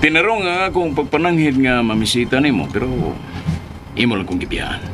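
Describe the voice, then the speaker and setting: An actor playing a proud, wounded, insistent suitor in a radio drama. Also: male voice, mid-range voice, a little fast, lightly acted. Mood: offended, insistent. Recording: music behind the voice.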